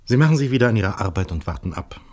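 An actor playing a calm, fatherly, probing police official in a radio crime play, speaking in a measured, hesitant way. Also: fairly low pitch. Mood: calm, reassuring. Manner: measured, hesitant, deliberate, with thoughtful murmurs. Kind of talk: 1970s radio play dialogue.

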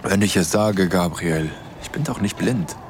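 A man's voice: low-pitched, normal speed, background noise, close to an everyday voice. A man playing a curious, candid acquaintance in a radio drama, chatting in a casual, slightly hesitant way. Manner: hesitant, conversational.

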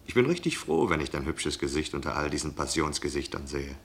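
A lecherous male tavern guest in a radio play. The voice is extremely deep and creaky, with lively swoops. He coaxes, flatters and mocks in a teasing manner. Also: fairly quick, a little hiss or hum, heavily acted. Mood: coaxing, lecherous. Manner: coaxing, teasing. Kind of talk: German radio drama acting.

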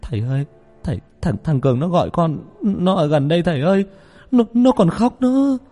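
A man's voice: a little high, expressive, fairly quick, warm tone, long reverb tail, soft background music, pitched above the performer's own voice. A man voicing a frightened, loyal young man lost in a forest, with a stammering, frantic delivery in a horror story. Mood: panicked stammering.

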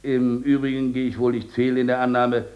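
A man playing a smooth, evasive lawyer in a 1950s German radio drama, formal and patronising. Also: mid-range voice, monotone, brisk, highs cut off, close to an everyday voice. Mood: soothing, condescending. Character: smooth, evasive, condescending male lawyer soothing his client.

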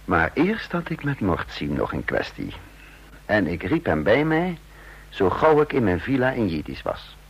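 A low gravelly spy telling his secret story, introspective, really dramatic swings, hissy old radio drama. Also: wildly swooping pitch, heavily acted. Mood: intent, confiding. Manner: literary, deliberate, introspective. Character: brooding male spy narrating his own secret story. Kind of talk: dramatic scripted narration.

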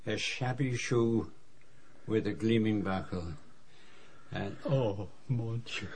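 An actor playing a fastidious Belgian detective with a French-speaking accent, precise and formal in a radio drama. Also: male voice, lowish voice, darkish tone, a little hiss or hum. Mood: grave, shaken.

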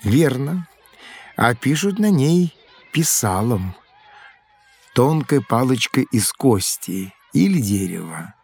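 An actor playing a folksy schoolmaster of old Rus in an educational audio drama, explaining things expressively. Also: male voice, mid-range voice, very animated, slow, vocal fry, darkish tone, slightly rough, heavily acted. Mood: instructive. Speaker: teacher.

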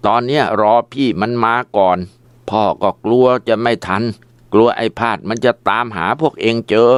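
A man's voice: fairly low pitch, normal speed, smooth, dry recording, lightly acted. A man voicing a worried old father in a spoken story, giving urgent, fatherly warnings.

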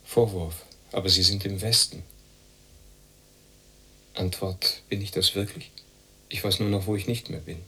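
A man's voice: low voice, fairly even intonation, unhurried, long pauses, bright, forceful tone, breathy edge, exaggerated voice, rough recording. An actor playing a wry, disillusioned East German man who quizzes himself tersely in a radio drama. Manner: clipped, terse. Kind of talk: clipped question-and-answer monologue in radio drama.

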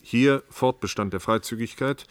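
A man's voice: mid-range voice, moderate pace, clean tone, no room sound, natural voice. A man playing an officious, stubborn government official, formal and pedantic, in a German radio play. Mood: measured, justifying.

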